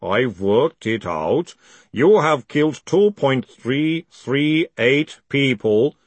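A man voicing a huge, impassive golem in an audiobook, with a slow, calm, rumbling and formal delivery. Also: no room sound. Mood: calm, accusing.

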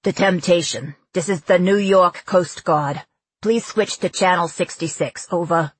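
A woman voicing a jovial, chatty Coast Guard man with a Brooklyn accent, loud and informal, in an audiobook. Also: on the low side, unhurried, breathy edge, dry recording. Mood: official.

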